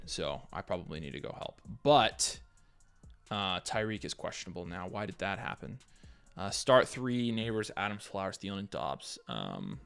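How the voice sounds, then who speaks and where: An American man hosting a live fantasy football Q&A, casually thinking aloud as he reads questions and gives quick picks. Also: low voice, vocal fry, fairly bright tone, smooth. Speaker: fantasy football livestream host.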